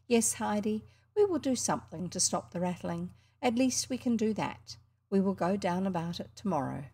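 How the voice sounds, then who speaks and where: A woman's voice: medium pitch, animated, normal speed, a little mellow, husky. Woman reading a curt old grandfather. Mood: gentle. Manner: curt, commanding.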